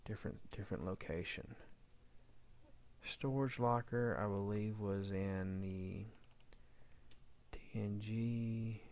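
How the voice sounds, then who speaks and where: A man with a fairly low, creaky voice walks through an audio edit in a casual tutorial style. He talks informally, thinking out loud as he works. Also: steady tone, slow, pauses often. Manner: informal, thinking aloud while working. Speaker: audio drama editor demonstrating editing. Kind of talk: casual screen-recorded editing tutorial.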